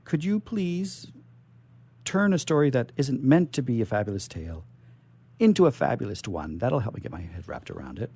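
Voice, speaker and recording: A man's voice: mid-range voice, sing-song, normal speed, a little mellow, slightly rough. A man hosting a radio interview, informal and conversational, prompting his guest and often repeating his phrases. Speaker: radio show host, interviewer.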